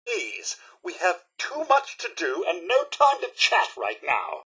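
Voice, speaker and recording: An actor voicing a fussy, polite British robot butler in a video game, with prim, formal, theatrical and deferential delivery. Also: male voice, high-pitched, huge pitch swings, measured pace, pushed and bright, husky, exaggerated voice. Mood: fussy, impatient. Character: fussy, polite male robot butler, exasperated yet devoted. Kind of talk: video game character voice acting.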